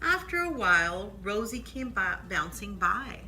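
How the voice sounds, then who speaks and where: A woman's voice: medium pitch, a little hiss or hum. A woman reading a children's picture book aloud at storytime, expressively, with asides on the pictures. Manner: expressive, pauses to point out pictures. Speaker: library storytime reader.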